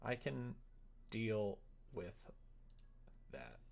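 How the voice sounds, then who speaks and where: A casual livestreamer of unclear gender with a mid-pitched, gravelly voice that often drops into fry. They talk slowly and informally, muttering their thoughts aloud as they work, with exasperated, self-deprecating asides. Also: big pitch swings, darkish tone, slight background noise. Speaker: live streamer. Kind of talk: casual livestream chat while working, thinking aloud.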